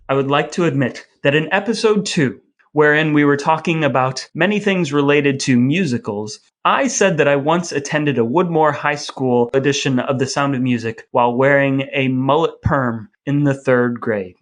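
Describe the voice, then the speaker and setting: A man's voice: fairly high pitch, normal speed, few pauses, a bit bright. An American man co-hosting a podcast in a wry, mock-formal, wordy way, mixing scripted banter with introductions. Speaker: podcast co-host.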